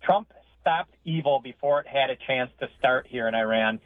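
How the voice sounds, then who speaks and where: A man's voice: medium pitch, normal speed, no room sound. A man in his fifties calling into a radio talk show, earnest and emphatic, stumbling over his words. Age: 50s. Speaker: caller. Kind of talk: phone-in call to a radio show. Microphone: telephone. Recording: tinny phone line.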